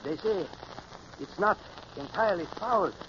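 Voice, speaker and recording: Casting: deferential young-officer type, nervous submarine lieutenant, high pitch, muffled vintage radio play with noise. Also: male voice, lively intonation, moderate pace, fairly bright tone. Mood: uneasy, hesitant. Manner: deferential, hesitant. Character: dutiful, anxious male submarine lieutenant. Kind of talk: 1950s radio drama acting.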